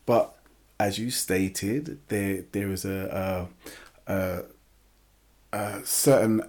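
A man born in England, co-hosting a casual discussion, talking haltingly with many fillers and restarts. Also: deep voice, fairly even intonation, a little mellow. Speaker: podcast co-host.

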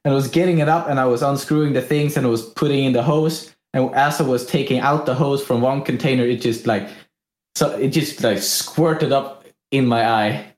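A man's voice: medium pitch, normal speed, darkish tone. A young man from Sweden telling a story in a casual, rambling way, laughing often. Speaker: podcast co-host. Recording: echoey room.

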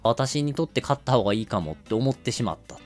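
A man's voice: mid-range voice, lively intonation, fast talker, soft background music. A man hosting a podcast, explaining and narrating in a polite, casual way. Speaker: podcast host.

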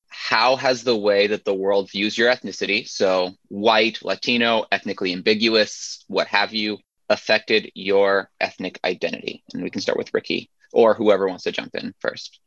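A man's voice: fairly low pitch, steady tone, normal speed, fairly bright tone, dry recording. A young man hosting a casual discussion, loose and rambling with many fillers. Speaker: host. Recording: dull dry recording.

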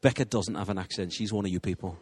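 A man in his thirties with a somewhat low voice opens a church service talk. He is friendly and self-deprecating, with a few halting restarts as he warms up. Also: breathy edge. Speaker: guest preacher. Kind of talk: sermon introduction.

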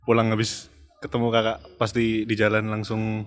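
A man's voice: on the low side, monotone, moderate pace. A young man with a Jakarta Indonesian accent, co-hosting a podcast in casual chat and laughing often. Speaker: podcast co-host.

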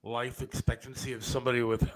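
A man co-hosting a casual podcast chat, easygoing and a little halting. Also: mid-range voice, flat delivery, rough recording. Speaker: guest co-host.

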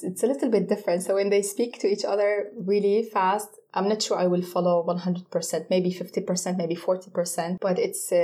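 A woman from Jordan, an Arabic speaker, patiently explaining things in a casual interview and pausing to search for words. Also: fairly low pitch, warm tone. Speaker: interview guest.

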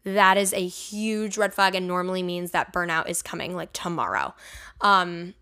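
A woman's voice: fairly low pitch, moderate pace, gravelly, pushed and bright, smooth. A young woman hosting a casual solo podcast, chatty and candid, her talk full of "like" and "um". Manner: chatty, filler-heavy with frequent "like" and "um"; candid and personal. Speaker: podcast host and songwriter.

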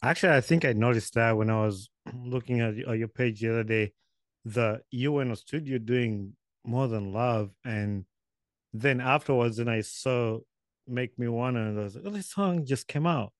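A man's voice: mid-range voice, moderate pace, no room sound. Rambling male podcast host, dry sound. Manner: rambling, self-correcting, chuckling. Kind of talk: casual interview chat. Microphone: video call.

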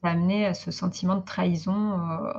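A woman's voice: lowish voice, little pitch movement, fast talker, vocal fry, a crowd in the background. A young woman in a casual book discussion, informal, acting out her own reactions as she recounts, on dull lo-fi audio. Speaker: book club participant.

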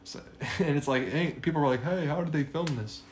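A man in his twenties co-hosting a podcast, chatting casually and getting excited, often saying "bro". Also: a little high, brisk, a little hiss or hum. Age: 20s. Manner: excitable, says "bro" a lot. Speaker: podcast co-host. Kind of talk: casual chat.

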